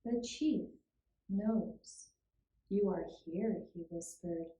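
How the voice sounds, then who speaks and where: A woman narrating a scripted children's puppet-show tale in a measured, deliberate way with pauses. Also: medium pitch, warm tone. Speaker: storyteller. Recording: roomy, echoing room sound.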